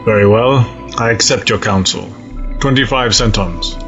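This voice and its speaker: A man's voice: fairly low pitch, fairly bright tone, faint music behind. Man acting a cold, formal fleet admiral, muffled. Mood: firm, formal. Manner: formal, measured, stiff diction. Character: cold, resolute male alien space-fleet admiral. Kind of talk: sci-fi audio drama acting.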